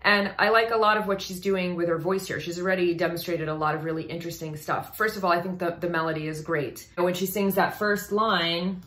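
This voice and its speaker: A woman vocal coach with a low speaking voice, giving an analytical, enthusiastic commentary on a song in a reaction video. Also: fairly even intonation, a bit bright. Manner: analytical, enthusiastic, talks over paused song playback.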